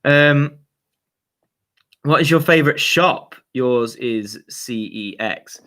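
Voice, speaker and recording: A young man, his voice a little hoarse. He hosts his own channel, chatting loosely through viewer questions with easy slang and frequent fillers. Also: medium pitch, slow pace, bright, forceful tone. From Yorkshire, England. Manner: chatty, laid-back, lots of fillers and slang. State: slightly hoarse. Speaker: YouTube channel host. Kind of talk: casual livestream chat, reading viewer questions.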